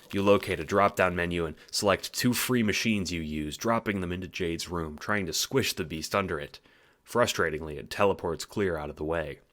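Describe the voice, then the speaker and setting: Deep-voiced man narrating with a bright edge. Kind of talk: dramatic scripted audiobook narration.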